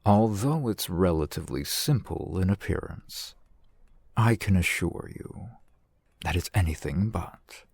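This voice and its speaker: A man's voice: deep voice, lively intonation, unhurried, creaky, a little mellow, slightly rough, no room sound, heavily acted. A man playing a courteous, shrewd fantasy shopkeeper in an ASMR roleplay, with formal, eloquent merchant patter. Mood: persuasive, earnest. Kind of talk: ASMR fantasy roleplay, softly acted one-sided dialogue.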